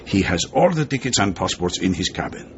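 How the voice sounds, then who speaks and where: An actor playing a shrewd, genial middle-aged intelligence chief in a radio drama. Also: male voice, low voice, big pitch swings, a little fast. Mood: sly, confiding. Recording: dull lo-fi recording, background noise.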